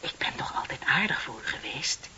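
An actress playing a bitter, composed office clerk in her thirties in a radio play, speaking in a deliberate, pointed, theatrical way. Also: rumbling low, big pitch swings, pushed and bright, whispered, full character voice. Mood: smugly composed. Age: middle-aged. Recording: dull, hissy old radio play recording.

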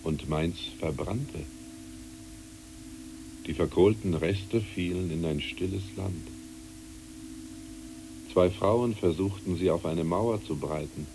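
A man playing a dead airman in a literary radio play, grave, resigned and measured. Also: deep voice, background noise.

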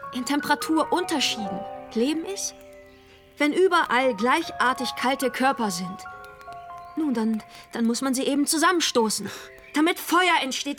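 A fervent woman revolutionary in a radio play. Her voice is high, with an emphatic, rhetorical push to persuade. Music plays clearly behind her. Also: a bit bright. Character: fervent, persuasive female revolutionary leader, urgent and provocative. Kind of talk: German radio play acting.

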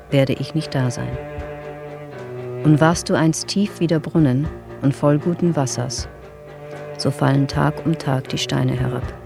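A performer playing a solemn reader of last notes in a radio play, with a gravelly voice and measured, poetic delivery. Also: medium pitch.

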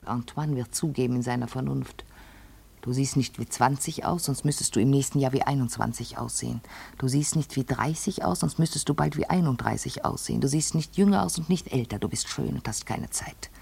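A vain intense lady in a radio drama, breathy n gravelly, very theatrical about getting old. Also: very deep voice, fairly quick, darkish tone, heavily acted. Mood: tender, wistful. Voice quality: husky, breathy, creaky. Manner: theatrical, intense, addressing a servant. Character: vain, obsessive lady fearful of ageing. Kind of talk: literary German radio play monologue.

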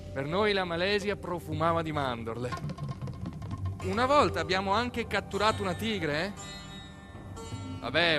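Expressive Italian male storyteller, slightly high voice. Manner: expressive, playful, dramatic emphasis with deliberate pauses. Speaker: stage actor-narrator. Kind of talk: theatrical monologue storytelling for radio theatre.

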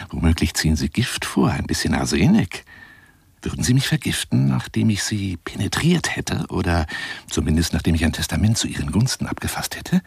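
Low, gravelly, theatrical lovelorn eccentric. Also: male voice, sing-song, normal speed, full character voice. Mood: bitter, suspicious. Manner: theatrical, florid, self-pitying, shifting to whispers and outbursts. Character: eccentric, lovelorn, wounded male lion hunter monologuing on telephone. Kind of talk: radio drama monologue.

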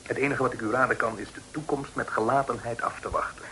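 An actor playing an earnest, cautious young gentleman in a period radio drama, speaking in a formal, measured way. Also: mid-range voice, fairly even intonation, lightly acted. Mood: cautious, regretful. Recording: noisy old radio drama recording.

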